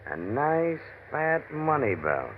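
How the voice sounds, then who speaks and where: A man's voice: fairly high pitch, big pitch swings, slow, full character voice. An actor playing a crude, sneering, bullying outlaw gunman with slangy tough talk in an old-time radio drama. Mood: sneering, suspicious. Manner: bullying, taunting. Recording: tinny, noisy old radio recording.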